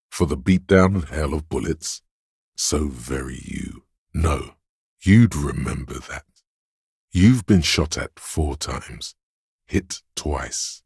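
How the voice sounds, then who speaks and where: Very deep, gravelly embodiment of pain. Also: male voice, no room sound, studio quality, exaggerated voice. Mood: brooding, dry. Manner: brooding, sardonic, deliberate. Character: inner voice of pain, a grim sardonic goading presence. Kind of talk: dramatic second-person game narration.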